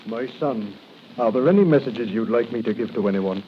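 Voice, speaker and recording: An actor playing a gentle, solemn prison priest with a measured manner, in 1940s radio drama on a hissy recording. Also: male voice, on the low side, lively intonation, lo-fi sound, lightly acted. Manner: soothing, measured.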